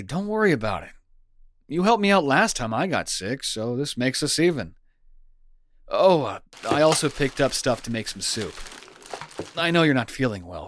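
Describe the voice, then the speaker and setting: A dry, close recording of a man playing a young boyfriend in a comfort roleplay. His mid-pitched voice moves around a lot, casual and playful, with gentle teasing and a caring manner toward a sick partner. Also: no room sound, lightly acted. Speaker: audio roleplay performer.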